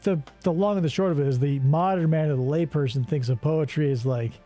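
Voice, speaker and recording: A man's voice: on the high side, brisk, mellow, faint music behind. A man hosting a casual comedy game show, rambling and sarcastic with profane asides.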